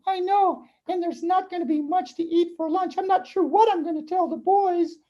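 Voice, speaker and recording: A woman playing a high-voiced fretful older wife. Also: fairly even intonation, moderate pace, highs cut off. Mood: worried. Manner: hesitant, sighing, repeating words. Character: Naomi, fretful, kindly older Israelite wife and mother. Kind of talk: children's puppet show dialogue.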